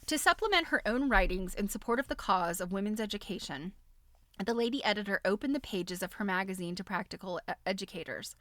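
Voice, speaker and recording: A woman hosting a live stream, reading a book aloud in an expressive, amused way with chatty, casual asides. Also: mid-range voice, brisk, no echo.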